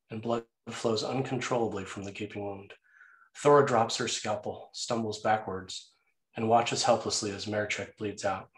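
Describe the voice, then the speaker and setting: A man reading scene headings and stage directions as the narrator of a screenplay table read. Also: lowish voice. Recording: roomy, echoey sound.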